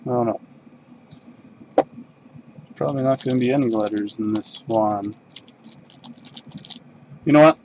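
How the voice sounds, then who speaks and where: Slow-talking Canadian man breaking card packs. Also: medium pitch, darkish tone, a little hiss or hum, rough recording. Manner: unhurried, mumbling card names while opening packs. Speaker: box break host. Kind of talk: casual live-stream card break commentary. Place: desk in a home card room.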